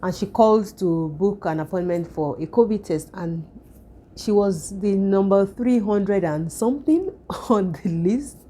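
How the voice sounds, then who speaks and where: A woman vlogger with a croaky, hoarse voice, recovering from illness, chatting at home in a relaxed way with frequent fillers and restarts. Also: mid-range voice, unhurried, a little hiss or hum. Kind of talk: casual vlog chat.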